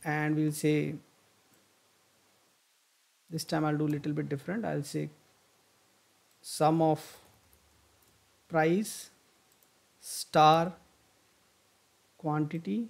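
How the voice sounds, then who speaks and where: A man gives a software tutorial over a screen recording. His voice sits slightly high and has a gravelly, creaky edge. He talks through each step as he goes, with many fillers and self-corrections. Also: steady tone, extremely slow, long pauses. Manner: thinks aloud with frequent fillers and restarts. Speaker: software instructor.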